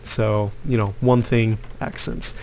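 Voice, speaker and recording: Opinionated man, low voice. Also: level tone, slow, a little mellow, a little hiss or hum. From Lithuania. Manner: rambling, opinionated, many fillers. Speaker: gaming youtuber. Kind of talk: casual gameplay commentary.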